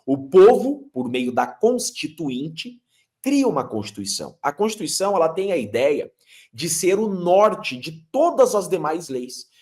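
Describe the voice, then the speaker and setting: A man's voice: a little high, sing-song, a little slow, darkish tone. A man, a lawyer explaining legal matters emphatically, posing rhetorical questions as he lectures. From Curitiba, Brazil. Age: 30s.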